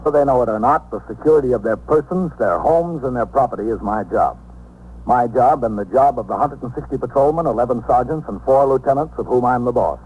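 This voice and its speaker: A man's voice: mid-range voice, a little fast, darkish tone, breathy edge, natural voice. A man with an American accent playing a businesslike New York police captain in a 1950s radio drama, clipped, authoritative and matter-of-fact. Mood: measured, official. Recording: noisy, hissy 1950s radio recording.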